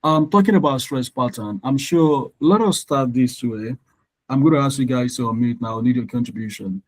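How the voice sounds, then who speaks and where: A man's voice: mid-range voice, low-quality recording. Yoruba-speaking man tutoring over a call. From Nigeria. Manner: explains slowly, spells words out letter by letter; frequent "all right" checks with learners. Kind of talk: online exam prep lesson.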